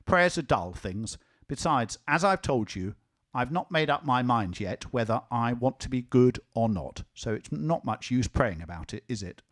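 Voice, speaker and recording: A man's voice: mid-range voice, animated, normal speed, close to an everyday voice. A man voicing a sleepy, earnest little boy who argues with his sister in a reasoning tone. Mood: stubborn. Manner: earnest, reasoning, a bit stubborn.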